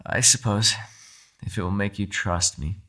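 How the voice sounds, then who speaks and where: A man voicing a proud, gentlemanly captive yokai in a dramatic ASMR roleplay, articulate and courteous yet demanding, speaking directly to the listener. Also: lowish voice, normal speed. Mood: earnest, softer. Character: captive male yokai, gentlemanly, proud, protective telepathic prisoner. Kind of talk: ASMR audio roleplay, dramatic character acting.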